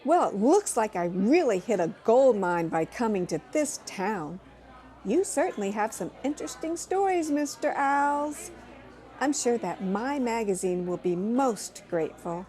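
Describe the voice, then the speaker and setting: A woman's voice: fairly high pitch, sing-song, measured pace, warm tone, faint music behind. A woman playing a polite, keenly interested young magazine reporter in an audio drama. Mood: eager, appreciative.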